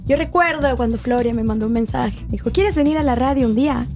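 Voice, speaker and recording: A woman's voice: fairly high pitch, quick pace, a little mellow, soft background music. A woman co-hosting a radio show in a playful, chatty way. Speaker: radio co-host. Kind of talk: casual radio chat.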